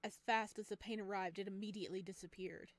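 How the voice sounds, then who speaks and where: A woman reading a visual novel aloud as a let's player, expressive, with casual chatty reactions. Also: mid-range voice, fast talker, dry recording. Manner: expressive, exclaims and chats between read passages. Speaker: video game let's player.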